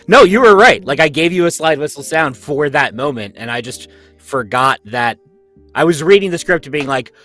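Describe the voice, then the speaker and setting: A man's voice: a little high, lively intonation, normal speed, a bit bright, faint music behind. Laughing man on a video call. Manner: chatty and self-deprecating, laughs often. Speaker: sound effects performer. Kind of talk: casual chat on a video call. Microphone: home mic on a video call.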